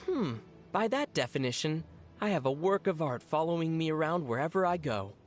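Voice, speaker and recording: An actor playing a calm young traveler in video game dialogue, with measured explanations and a dry, deadpan, teasing wit. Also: medium pitch, faint music behind, lightly acted. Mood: amused, teasing.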